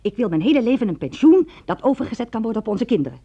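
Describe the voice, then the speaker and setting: An actress playing a pushy, money-minded tavern waitress and fiancée in a radio drama, blunt and nagging. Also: female voice, a little high, big pitch swings, rapid-fire, mellow, husky, muffled recording, full character voice. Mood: scornful, goading. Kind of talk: Dutch radio drama acting.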